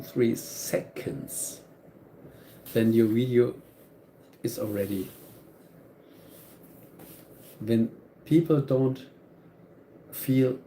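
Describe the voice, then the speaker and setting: A man's voice: mid-range voice, mellow, a little hiss or hum. A man with a German accent, German his first language, hosting a live stream and talking earnestly to viewers, often repeating and restarting his phrases. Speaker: channel host.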